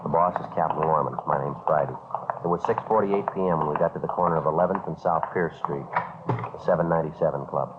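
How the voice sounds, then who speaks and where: An actor playing a businesslike, polite police detective in a 1950s radio crime drama, speaking in a clipped, matter-of-fact way. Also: male voice, extremely low pitch, quick pace. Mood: terse, matter-of-fact. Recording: noisy, narrow-band old radio recording.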